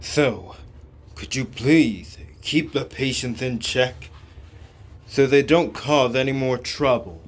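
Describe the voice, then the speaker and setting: Man voicing a slow, measured surgeon. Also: mid-range voice. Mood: calm, resolute. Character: calm male surgeon devoted to protecting humans. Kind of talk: scripted game dialogue reading.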